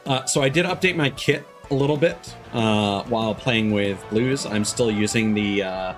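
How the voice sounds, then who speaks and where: A man talking casually as a live streamer, halting and hesitant as he thinks aloud. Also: on the low side, soft background music. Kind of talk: casual livestream chat.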